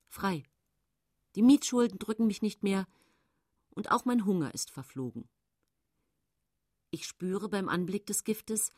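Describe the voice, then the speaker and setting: A woman's voice: medium pitch, darkish tone, dry recording, clean studio sound, lightly acted. An actress playing a middle-aged female poisoner who confidingly narrates her own case in a German radio play.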